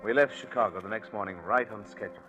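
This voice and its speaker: A man narrating a 1940s radio suspense drama in a measured, confiding storyteller's manner. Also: on the low side. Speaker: narrator and lead actor. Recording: dull old radio broadcast with music.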